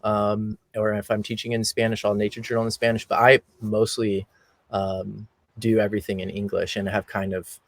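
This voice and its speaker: An American man hosting an interview on a remote call, relaxed and conversational with frequent ums. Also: fairly low pitch, normal speed, no room sound. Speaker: podcast host. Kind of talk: casual interview.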